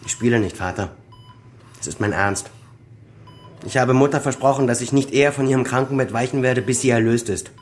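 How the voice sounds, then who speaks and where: An actor playing a grim, resolute grown son in a radio crime drama, speaking deliberately with quiet menace. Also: male voice, mid-range voice, a little hiss or hum, close to an everyday voice. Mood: cold, determined.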